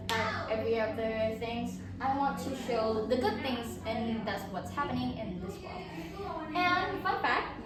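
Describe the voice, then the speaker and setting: A teenage girl from Indonesia presenting her portfolio as a student, in a chatty, upbeat way with fillers and restarts. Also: a little high. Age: teens. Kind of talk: casual self-presentation. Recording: very echoey room, from far off, crowd behind.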